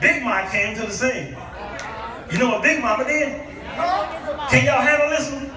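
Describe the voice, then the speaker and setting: A middle-aged American man preaching a humorous, animated storytelling sermon, often throwing questions out to his congregation. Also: extremely high pitch, moderate pace, crowd noise. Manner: animated, declamatory, often calling on the listeners with questions. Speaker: guest preacher. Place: large echoey room with a congregation. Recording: echoey hall, distant mic, audience noise.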